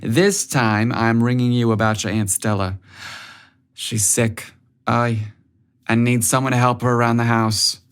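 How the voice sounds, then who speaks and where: A man plays a doting older mother in a narrated story. She is pushy and affectionate, coaxing with theatrical exasperation in a voice pitched up a little. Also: steady tone, a little slow, clean tone, natural voice. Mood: pleading, insistent.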